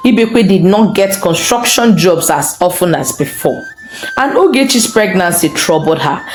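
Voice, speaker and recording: A woman narrates a scripted folktale as a storyteller. Her intonation is animated and varied. The voice has a roomy echo, with faint music behind it. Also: lowish voice, normal speed.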